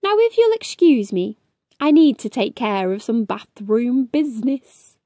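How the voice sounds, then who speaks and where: A woman reading the part of a cheery old male science professor in a children's storybook, animated and teacherly. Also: fairly high pitch, measured pace, no echo, clean studio sound, voice pushed down. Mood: cheery, lively. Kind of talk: children's storybook read aloud.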